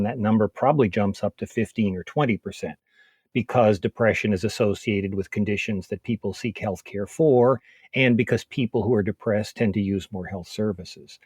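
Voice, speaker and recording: A man with a fairly low voice answers as an expert guest. He explains things in a measured, methodical way, recorded close and dry with no room sound. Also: darkish tone, husky, no room sound. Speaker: expert guest, psychiatrist and researcher.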